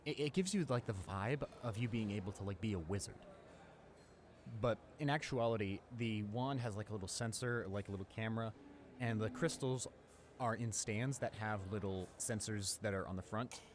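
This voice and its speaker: A young man playing a friendly, eager high school senior in a roleplay audio drama, rambling enthusiastically with stammers and repeats. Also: normal speed, close to an everyday voice. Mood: enthused, explaining. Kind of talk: roleplay audio drama, casual one-sided conversation. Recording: clear background noise, ambience.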